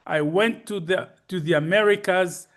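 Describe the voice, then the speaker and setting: Male presenter, early seventies. High-pitched voice, halting and courteous delivery of a personal talk. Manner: halting, deliberate, formal and courteous. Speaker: conference speaker, retired ophthalmologist.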